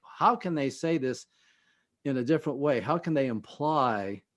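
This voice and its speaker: Guy guesting on a podcast, teacher type, medium pitch, explains stuff carefully w pauses. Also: expressive. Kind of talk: conversational expert interview.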